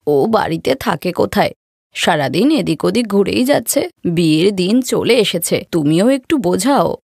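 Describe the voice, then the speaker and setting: A woman voicing a worried, complaining middle-aged mother in an animated moral story. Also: lowish voice, moderate pace, creaky, breathy edge, no echo, studio quality, full character voice. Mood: fretful, complaining. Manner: fretful, complaining.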